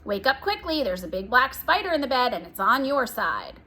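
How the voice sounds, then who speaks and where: A woman's voice: on the high side, sing-song, normal speed, pushed and bright, heavily acted. A woman doing a mischievous little girl. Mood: urgent. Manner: scary, urgent.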